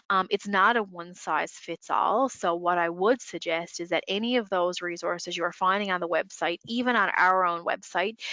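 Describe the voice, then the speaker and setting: A woman's voice: on the low side, sharp tone, dry recording, dull audio. A woman giving legal advice in a webinar discussion, congested, talking conversationally with many fillers over a video call. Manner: filler-heavy, conversational, many "you know"s. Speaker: employment lawyer panelist. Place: home, remote call.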